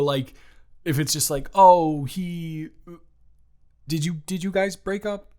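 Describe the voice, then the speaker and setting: A man's voice: fairly high pitch, natural voice. A man playing a protective, smitten young friend in an intimate improvised audio roleplay, sincere and flustered, stammering and restarting his phrases. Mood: exasperated, coaxing.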